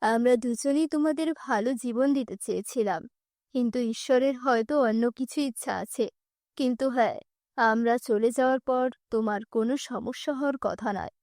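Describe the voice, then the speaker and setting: Woman acting a tender dying mother, lowered voice. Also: brisk, a little mellow, no room sound, studio quality, lightly acted. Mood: tender, resigned.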